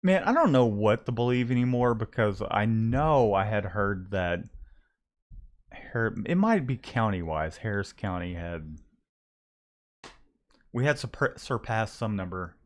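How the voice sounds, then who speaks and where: Rambling low-voiced Southern man. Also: expressive, a little slow. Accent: Southern US, Texas-Louisiana mix. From Texas. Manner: rambling, many restarts and fillers. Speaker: screen printing shop owner, co-host. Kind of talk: casual livestream chat.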